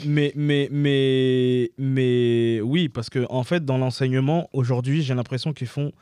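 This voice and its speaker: A man's voice: medium pitch, steady tone, unhurried, mellow, smooth, no echo. A man in his thirties with a Parisian suburban French accent, talking casually and emphatically as an interview guest, slangy and repeating himself. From France, Paris suburb of Montreuil. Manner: emphatic, repetitive, colloquial. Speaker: interview guest, comedy creator.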